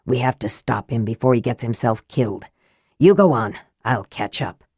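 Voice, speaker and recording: A woman voicing a grim, caustic young male wizard in an audiobook, slow and hushed with bitter deliberation. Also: a bass voice, breathy edge, dry recording, studio quality, pitched below the performer's own voice, full character voice. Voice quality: hushed, whispery. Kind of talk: audiobook character acting.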